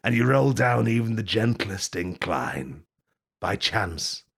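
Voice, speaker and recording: A man rambling through an improvised mock tour-guide bit, deadpan and absurd, swearing and laughing at his own jokes. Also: fairly low pitch, takes it slowly, gravelly, slightly rough. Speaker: podcast host.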